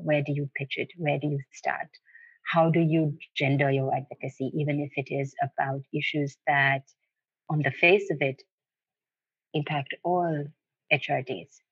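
A woman giving considered, deliberate interview answers, building her points with emphatic repetition, on a muffled lo-fi line. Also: low voice, breathy edge, dry recording. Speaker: interview guest, human rights advocate.

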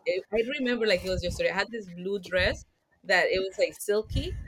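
Woman telling lively stories. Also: mid-range voice, brisk, breathy edge, poor audio. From Colombia. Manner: lively storyteller, mixes Spanish and English. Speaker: podcast guest. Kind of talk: casual interview chat.

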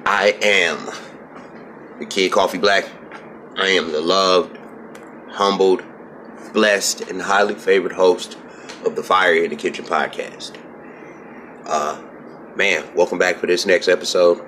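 A man's voice: deep voice, fairly bright tone, slight background noise. A man hosting a podcast, talking casually and informally with slang and the odd swear word. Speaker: podcast host.

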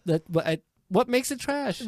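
A woman hosting a casual group movie review, exclamatory, giggly and quick to argue her point. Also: fairly high pitch, expressive, a little mellow, no echo. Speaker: movie review show host.

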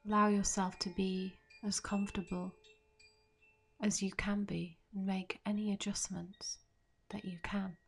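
Slow, hushed woman guiding meditation. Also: mid-range voice, little pitch movement, creaky, slight background noise. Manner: soothing, gentle, measured with long pauses. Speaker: meditation guide. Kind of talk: guided meditation. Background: soft meditation music with chimes.

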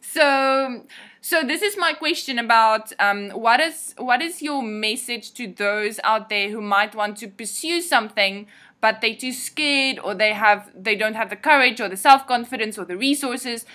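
Bright, encouraging woman interviewer. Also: on the high side, a little slow. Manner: encouraging, many affirmations. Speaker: interviewer, online English teacher. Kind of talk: interview conversation.

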